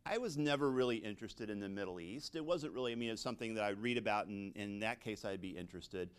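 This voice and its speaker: A man's voice: fairly low pitch, fast, smooth. A middle-aged man from suburban America with an American accent, an English teacher telling personal stories in a talk, conversationally and with frequent asides. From suburban United States. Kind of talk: personal storytelling in a talk to an audience.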